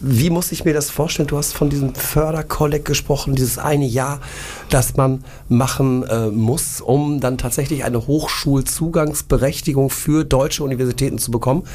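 A man's voice: mid-range voice, fairly even intonation, normal speed, a little mellow. A man interviewing a guest, working through his questions thoughtfully with hypothetical examples. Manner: thoughtful, poses hypothetical cases.

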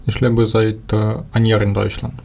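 Halting, gravelly Ukrainian teenage boy. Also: lowish voice, little pitch movement, a little mellow, slight background noise. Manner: halting, many fillers, searching for words. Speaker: interview guest. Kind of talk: casual interview answers.

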